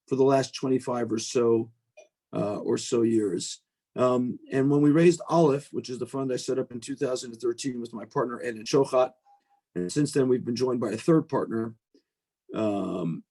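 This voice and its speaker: Middle-aged male guest speaker, American accent, relaxed dark timbre, informal video-call talk. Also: mid-range voice. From United States. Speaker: venture capitalist, guest speaker.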